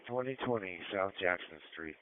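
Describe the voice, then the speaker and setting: A man's voice: sits low, animated, normal speed, clean tone, dry recording. A man talking tersely over a police two-way radio. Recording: narrow phone-like police scanner radio.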